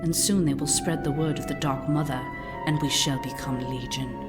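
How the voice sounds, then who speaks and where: Low-voiced, haughty dark elf zealot played by a woman. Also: fairly even intonation, moderate pace, lightly acted. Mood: smug, fervent. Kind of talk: fantasy audio drama acting. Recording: long reverb, music underneath.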